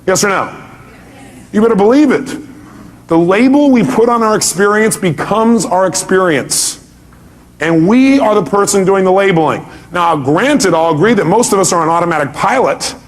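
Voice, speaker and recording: Man, fairly high voice, motivational speaker, emphatic delivery, rhetorical questions, seminar style. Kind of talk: motivational seminar talk to an audience.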